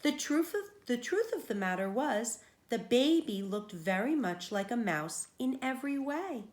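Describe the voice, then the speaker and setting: A woman's voice: fairly high pitch, big pitch swings, measured pace, echoey room. A woman teacher reading a children's storybook aloud, explaining things to young listeners as she goes.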